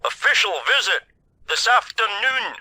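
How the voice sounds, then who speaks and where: A man voicing an excitable, giggly, self-important lighthouse keeper in a children's storybook, with flustered, exclamatory delivery. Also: high voice, normal speed, pitched above the performer's own voice, full character voice, poor audio. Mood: urgent. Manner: exclamatory, flustered, over-eager. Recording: hissy old cassette transfer.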